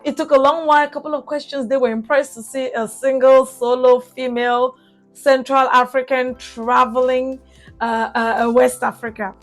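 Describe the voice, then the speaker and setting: A young woman with a Cameroonian accent, recapping her travels in a chatty vlog with fillers and restarts. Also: on the high side. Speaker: travel vlogger.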